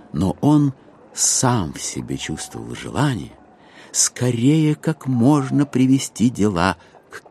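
Male narrator, husky low voice, animated intonation, measured literary storytelling. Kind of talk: literary audio drama narration.

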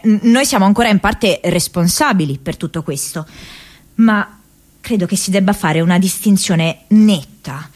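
A woman acting a young American activist in a radio theatre monologue, thinking aloud from her diary in a reflective, halting, conversational way. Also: medium pitch, close to an everyday voice. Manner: halting, reflective, conversational, with hesitations and repeated words.

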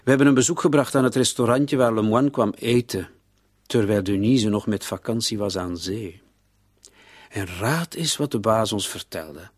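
A man performs a police commissioner in a radio play, with a rather low, rough-edged voice recorded dry and close. He is shrewd and sardonic, needling his suspect with taunting questions. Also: normal speed, no echo, close to an everyday voice. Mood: confident, probing. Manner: sardonic, taunting, with rhetorical tags.

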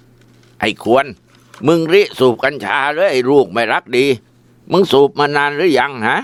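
A man voicing a strict middle-aged father who scolds loudly. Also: mid-range voice, moderate pace, clean tone, dry recording, pitched above the performer's own voice, natural voice. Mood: furious. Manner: shouting, scolding.

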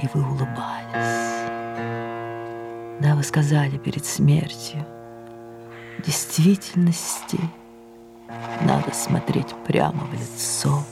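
A creaky-voiced, imperious aging stage diva. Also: female voice, rumbling low, lively intonation, over music, full character voice. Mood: melancholy, reminiscing. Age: older. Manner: theatrical, declamatory, drawn-out words dropping to breathy murmurs. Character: aging, imperious, melancholy great French stage actress, reminiscing. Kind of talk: audio drama monologue and dialogue.

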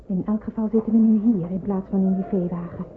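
An actress playing a practical, compassionate nurse in a wartime radio drama. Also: female voice, mid-range voice, fairly even intonation, fast talker, natural voice. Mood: practical, caring. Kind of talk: Dutch radio drama acting. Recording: tinny old radio recording with clear noise.

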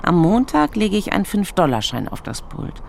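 An actress playing a matter-of-fact female schoolteacher in a radio play, with a creaky voice. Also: medium pitch, big pitch swings, normal speed, a little hiss or hum. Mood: curious, frank. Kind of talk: radio play acting, narrated monologue and dialogue.

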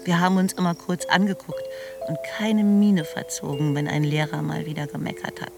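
A woman's voice: deep voice, flat delivery, normal speed, creaky. Reflective woman narrator, radio drama, plain understated storytelling, music under it.

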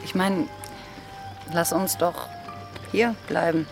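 An actress playing a pirate's caring companion in a German audio drama, gently probing. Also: female voice, fairly low pitch, takes it slowly, creaky. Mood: pleading. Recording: studio radio drama with music underneath.

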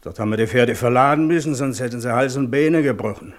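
A man plays a jovial country horse dealer in a naturalistic radio drama. His voice is middle-pitched, with a Silesian dialect, and he talks in a folksy, teasing storyteller's way. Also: quick pace, lightly acted. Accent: Silesian German. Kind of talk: naturalistic German radio play acting in dialect.

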